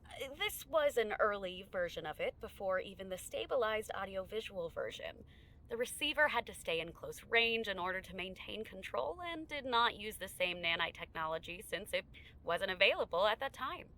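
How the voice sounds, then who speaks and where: A woman acting in an audio drama as a poised tech research lead, speaking formally and in a measured, clinical way. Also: medium pitch, lively intonation, pushed and bright. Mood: clinical, guarded.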